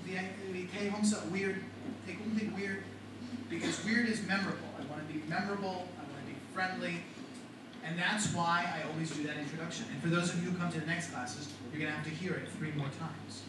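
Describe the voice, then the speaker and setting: Middle-aged guy teaching a class, high-ish voice, super echoey room, mic way off. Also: a little fast. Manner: animated, talks to the class with questions. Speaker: writing and rhetoric teacher. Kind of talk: classroom lecture. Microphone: far from the mic. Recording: echoey classroom from far off, noisy.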